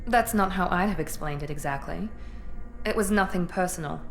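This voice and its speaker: An actress playing a sardonic human operative with a dry manner. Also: female voice, lowish voice, normal speed, soft background music, close to an everyday voice.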